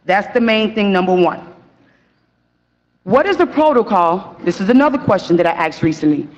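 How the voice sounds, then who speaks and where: A woman's voice: medium pitch, expressive, normal speed. A young woman speaking at a podium in a council chamber, pressing urgent, repeated questions. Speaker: victim's sister at council meeting. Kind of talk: public comment speech. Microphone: podium mic. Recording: dull meeting audio with long hall reverb.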